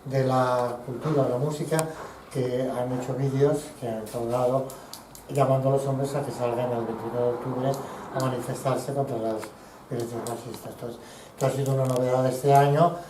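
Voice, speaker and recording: A man, an activist organizer, explaining calmly in a panel discussion. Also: mid-range voice. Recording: roomy, distant mic, background noise.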